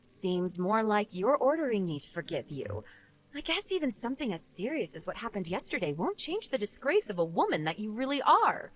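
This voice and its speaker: Woman acting smug, animated, vengeful wife. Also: mid-range voice, brisk. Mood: cool taunting. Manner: taunting, sarcastic, unbothered. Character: vengeful former bullying victim, a smug cool young wife. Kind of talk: scripted story dialogue.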